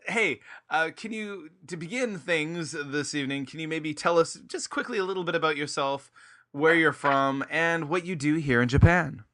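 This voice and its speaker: A man's voice: on the high side, moderate pace, bright, forceful tone. A man from Nova Scotia hosting a casual podcast, upbeat and chatty with many fillers, in a small home studio. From Nova Scotia, Canada. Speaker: podcast host. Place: small home studio room with a floor fan.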